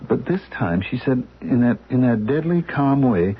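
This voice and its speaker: An actor playing an anxious husband who stammers and repeats himself, in a radio drama. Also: male voice, mid-range voice, animated, moderate pace, husky. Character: anxious middle-aged man, husband, frightened and pleading. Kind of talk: 1970s radio drama acting. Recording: muffled lo-fi old radio recording.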